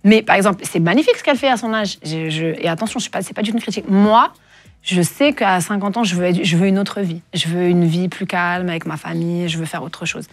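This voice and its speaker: Woman, 40s, lowish husky voice, dry close sound, reflective interview guest who pauses a lot. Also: no room sound. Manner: reflective, soft-spoken with frequent hesitations. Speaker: guest singer. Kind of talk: candid interview talk.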